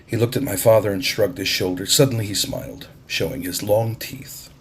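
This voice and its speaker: A man narrating an audiobook in a deliberate, expressive storytelling style. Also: lowish voice, a little hiss or hum.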